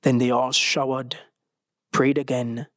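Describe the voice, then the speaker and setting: A man's voice: mid-range voice, little pitch movement, unhurried, background noise. A young man reading a scripted short story aloud as its narrator. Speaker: story reader.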